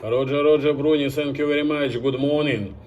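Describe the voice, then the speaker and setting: Halting man. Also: on the high side, steady tone, very noisy. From France. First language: French. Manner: hesitant, halting English with many fillers. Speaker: amateur radio operator. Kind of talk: ham radio contact exchange. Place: home station by a balcony antenna.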